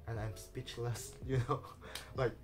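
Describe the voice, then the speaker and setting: A man whose first language is Indonesian, talking casually in halting English with many fillers, restarts and small nervous laughs. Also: mid-range voice, fairly even intonation, soft background music. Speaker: vlogger. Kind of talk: casual personal vlog talk.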